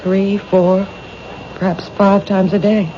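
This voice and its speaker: A woman's voice: mid-range voice, level tone, slow, lightly acted. An actress playing a timid, fearful young woman who owns a bookshop, speaking hesitantly and stammering in a 1970s radio drama. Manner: hesitant, soft-spoken, stammering. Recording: dull old radio broadcast, noisy.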